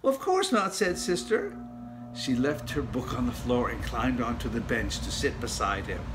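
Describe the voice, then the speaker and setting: An older man reads a children's storybook aloud at home, gentle and expressive, sinking to a hush for the spooky passages. His voice sits at an ordinary middle pitch, picked up by a camera at desk distance with a steady hiss underneath. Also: moderate pace. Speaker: storybook reader. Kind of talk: children's picture book read-aloud. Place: home room, indoors.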